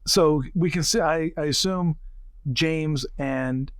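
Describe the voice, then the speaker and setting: A man's voice: on the high side, slow pace, no room sound. A man talking casually as a player in a tabletop game. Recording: dry close recording, music behind.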